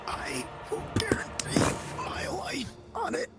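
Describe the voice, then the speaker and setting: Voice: very high voice, huge pitch swings, slow, over loud music, exaggerated voice. Someone playing a terrified young servant boy. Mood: fearful. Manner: hushed, fearful denials. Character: frightened young male servant under threat.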